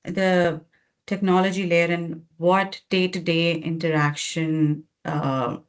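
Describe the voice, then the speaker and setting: A woman with a low voice hosts an interview, summing up her guest's points in a measured, reflective way. She leads into questions calmly, with a few fillers along the way. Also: clear, resonant tone. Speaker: webinar host. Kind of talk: interview hosting. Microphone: video call.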